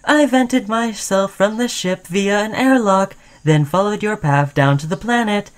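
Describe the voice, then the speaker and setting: Woman as sentient robot crewmate, mid pitch, caring, obsessively attached, soothing, chatty, sci-fi audio roleplay. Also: measured pace, close to an everyday voice. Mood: fond, earnest. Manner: chatty, soothing, addressing listener as human friend. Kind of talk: scripted ASMR roleplay addressed to the listener.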